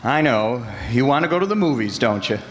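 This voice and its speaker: A man's voice: mid-range voice, lively intonation, fairly quick, a bit bright, lightly acted. A man playing a wry, confident amateur detective in an old-time radio drama. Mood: wry, casual. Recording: noisy echoing hall.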